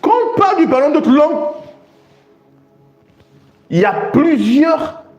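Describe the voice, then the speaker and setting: A man's voice: very high-pitched, sing-song, a little slow, darkish tone, lo-fi sound, soft background music. A man preaching a sermon, reading and explaining scripture emphatically and repeating phrases for stress. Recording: echoey room sound.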